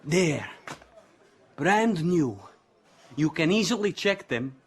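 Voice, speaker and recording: An actor playing an arrogant, clever young suspect in a radio drama, taunting in a mocking, theatrical manner. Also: male voice, on the high side, expressive, slow pace, breathy edge, heavily acted. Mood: smug, goading.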